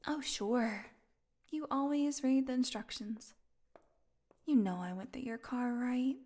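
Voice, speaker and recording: An actress plays a captive vampire woman with a fairly high, breathy voice. She speaks slowly and wearily, slipping into whispers. Her intimate roleplay delivery is sardonic, teasing and edged with hunger. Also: a little mellow. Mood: weary, teasing. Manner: sarcastic, breathy murmurs, drawn-out weary delivery. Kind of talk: intimate audio roleplay acting.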